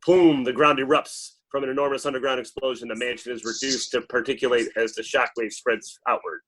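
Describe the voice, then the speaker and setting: A man's voice: medium pitch, steady tone, fairly quick, fairly bright tone, slight background noise, rough recording. A man reading stage directions as dramatic scripted narration at a table read.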